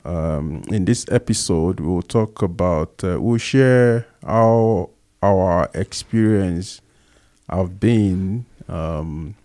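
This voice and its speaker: A man's voice: sits low, very slow, dark tone, no echo, studio quality. A man with a Nigerian accent co-hosting a casual podcast, speaking earnestly with frequent fillers. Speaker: podcast co-host.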